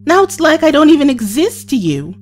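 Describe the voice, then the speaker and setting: A woman's voice: sits high, big pitch swings, fairly quick. A woman playing a time traveller's hurt young companion in an audio drama, earnest and reproachful. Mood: upset, pleading.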